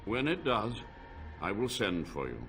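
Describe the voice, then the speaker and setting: An actor playing an ancient male mage, a proud and unrepentant scholar, speaking slowly and gravely in a video game cutscene. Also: on the low side, faint music behind, heavily acted. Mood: weary. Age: older. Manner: slow, grave, measured delivery. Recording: game audio with steady ambient noise.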